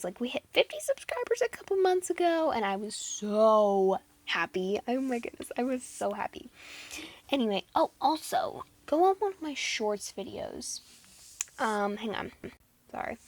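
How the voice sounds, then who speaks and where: A teenage girl vlogging in a casual, chatty way, giggling and saying "like" a lot, her voice going a bit hoarse. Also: mid-range voice. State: losing her voice. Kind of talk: casual chat to camera.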